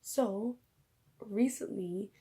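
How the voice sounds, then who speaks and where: Young woman vlogger, raspy and husky from a coming cold, mellow dark tone, casual rambling talk. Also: medium pitch, drawn-out words. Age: young adult. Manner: chatty, rambling, laughs at herself. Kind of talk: casual faith vlog. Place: bedroom.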